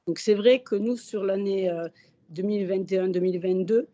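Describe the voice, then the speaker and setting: A hoarse woman speaks in a mellow, matter-of-fact way as she gives testimony to a webinar. The sound is dull and lo-fi, like a video call. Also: mid-range voice, moderate pace. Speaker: company managing director.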